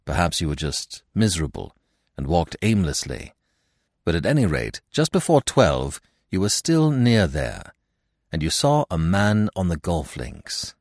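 A lovestruck young gentleman in an audiobook, played by a man. His lowish voice moves expressively up and down. He sounds earnest, intense and protective. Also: huge pitch swings, measured pace, dry recording, studio quality, exaggerated voice. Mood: probing. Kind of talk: audiobook character dialogue.

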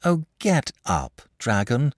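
A man's voice: medium pitch, sing-song, slow, dry recording, clean studio sound, pitched above the performer's own voice. A man voicing a tired, tearful little boy from a storybook, whining and pleading piteously.

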